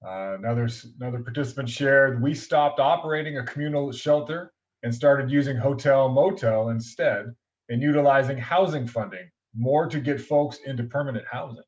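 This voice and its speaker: A man's voice: medium pitch, normal speed, highs cut off. A man moderating a webinar, reading out participants' chat responses with hesitant fillers over a video call.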